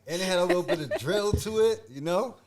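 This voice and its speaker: A woman hosting a podcast interview, asking relaxed questions and chiming in with short affirmations. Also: lowish voice, fast talker, over music. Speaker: podcast host. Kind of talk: casual interview chat.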